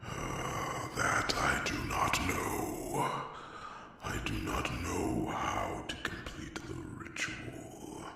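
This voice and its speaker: A man playing a grave, ancient dragon in a whispered ASMR fantasy roleplay, with slow, halting, ponderous speech and musing hums. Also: rumbling low, big pitch swings, gravelly, heavily acted. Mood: grave, deliberate. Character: grave, ancient male dragon counselling a human companion. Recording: distant, echoey cavernous reverb, faint background noise.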